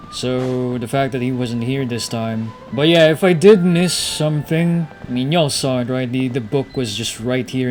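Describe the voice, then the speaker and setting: Male gameplay commentator, medium pitch, relaxed chatty musing, light background music. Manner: musing aloud, conversational. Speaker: game streamer.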